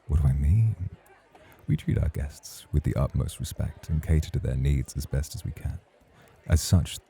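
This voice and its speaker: A man's voice: rumbling low, mellow, breathy edge, heavily acted. A man playing a suave, patient nightclub host in an ASMR roleplay, speaking in a formal, courtly, flirtatious way. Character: suave, patient male nightclub host, teasing rival charmer.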